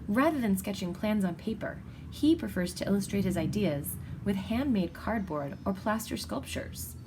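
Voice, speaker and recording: A woman reading a children's picture book aloud in an expressive, deliberate storytelling manner. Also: mid-range voice, noisy background. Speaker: storybook reader.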